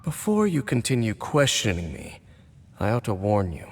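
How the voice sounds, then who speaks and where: A man voicing a reserved swordsman who speaks in a measured, formal way. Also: fairly low pitch, wildly swooping pitch, a little mellow, a little hiss or hum. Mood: grave. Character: grave, formal male swordsman with a lost homeland. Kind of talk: video game dialogue. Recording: game audio over faint music.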